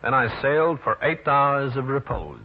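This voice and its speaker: A man's voice: medium pitch, lively intonation, normal speed, close to an everyday voice. Man acting a dry, hard-boiled radio private eye. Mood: dry narration. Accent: American. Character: tough, cool, wry New York private detective man. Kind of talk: 1940s radio detective drama, first-person narration and dialogue. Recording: muffled, noisy old radio recording.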